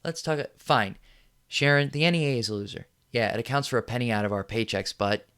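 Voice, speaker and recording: An actor playing a blunt middle-aged news anchor who answers a panel in a cutting way. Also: male voice, medium pitch, a little fast, a bit bright, clean tone, close to an everyday voice.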